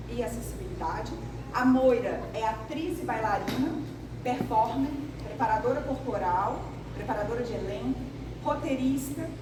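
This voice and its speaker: A middle-aged Brazilian woman with a relaxed, chatty manner, welcoming a workshop group and describing herself. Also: fairly high pitch. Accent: Brazilian Portuguese. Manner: relaxed, chatty, self-correcting. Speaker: workshop organizer. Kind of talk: welcoming talk with self-description. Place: large dance studio. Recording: echoey room, distant mic, loud noise.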